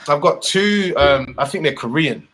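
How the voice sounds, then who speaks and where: A man co-hosting a casual discussion podcast, speaking in an animated, emphatic way with slang. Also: a little high, moderate pace, crowd noise. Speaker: podcast co-host.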